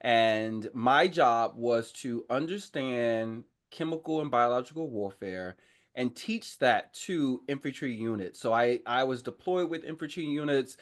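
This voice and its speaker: A man speaking as a podcast guest, telling his own story in a casual, informal, animated way and often interrupting himself. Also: medium pitch, measured pace. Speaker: podcast guest, army veteran. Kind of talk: casual conversational personal storytelling.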